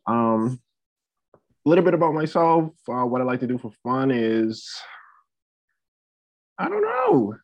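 A man's voice: medium pitch, huge pitch swings, a little slow, gravelly, pauses often, a little mellow. A man in his twenties from the Bahamas talking casually as a podcast guest, hesitant and repeating phrases as he thinks. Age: 20s. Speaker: podcast guest, student athlete and entrepreneur. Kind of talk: casual interview talk. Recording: dull lo-fi audio.

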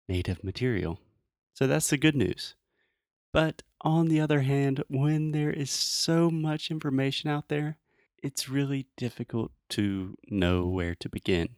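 A man's voice: on the low side, smooth, no echo, clean studio sound. An American man hosting a casual English-learning podcast, talking straight to listeners in informal, emphatic, simply explained phrases. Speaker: podcast host.

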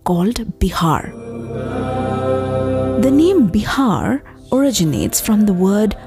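A woman with an Indian accent and a breathy voice, hosting a storytelling podcast and speaking directly to her listeners. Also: mid-range voice, big pitch swings, takes it slowly, loud music behind the voice. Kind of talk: podcast narration, addressing listeners.

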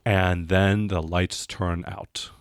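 A man narrating a tabletop role-playing game as game master, halting now and then as he improvises descriptions. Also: deep voice, flat delivery, clear, resonant tone, no room sound, studio quality.

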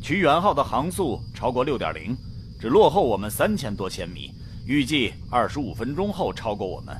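An actor playing a calm, skeptical male astronaut who reports flight data in a science fiction radio drama. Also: mid-range voice, moderate pace, slight background noise, close to an everyday voice. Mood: reporting. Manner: matter-of-fact, dismissive.

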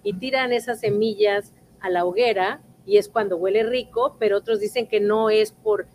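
A woman hosting an arts show, asking her guest curious, animated questions. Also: medium pitch, normal speed, a little hiss or hum. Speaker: host. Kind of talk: casual interview.

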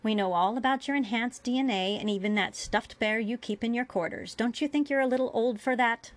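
A woman's voice: on the high side, a little fast, voice pushed up, close to an everyday voice. A woman voicing a cruel female alien interrogator in a dramatic story reading, accusing and full of contempt. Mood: contemptuous.

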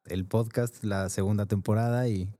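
A mellow-voiced man chats easily as a podcast co-host. His tone is warm and soft, recorded dry and close. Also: lowish voice, steady tone, normal speed, no echo. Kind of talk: casual chat.

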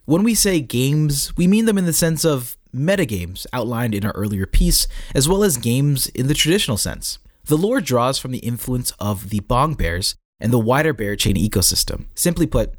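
A man's voice: mid-range voice, big pitch swings, normal speed, few pauses, dry recording, studio quality. A man reading a scripted article aloud as a narrator, with a tongue-in-cheek manner.

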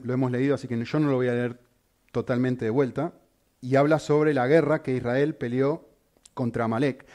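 A man preaching, his voice sitting a little high for a man and swinging widely up and down as he works through the sermon. He keeps turning to the listeners with rhetorical questions. The delivery is lively and emphatic throughout. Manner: animated, rhetorical questions to the congregation.

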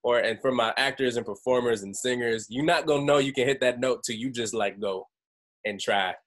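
A man's voice: medium pitch, fairly quick. A young man hosting a group call, talking casually and acting out quoted voices with laughs. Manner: animated, acts out quotes, laughs. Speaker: host.

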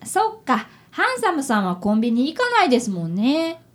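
A woman's voice: high voice, huge pitch swings, brisk. A woman voicing a polite, earnest fifth-grade boy detective apprentice in a radio drama. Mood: attentive, polite. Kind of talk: radio drama acting with first-person narration.

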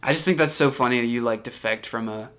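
Young man, podcast co-host, casual chat, excitable, slangy, laughs a lot. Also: mid-range voice, fast talker.

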